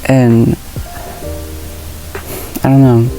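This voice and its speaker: Young woman, deep voice, mellow tone, casual reflective vlog, many fillers, faint background music. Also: flat delivery, drawn-out words, rough recording. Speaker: vlogger. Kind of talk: candid personal vlog. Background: soft background music.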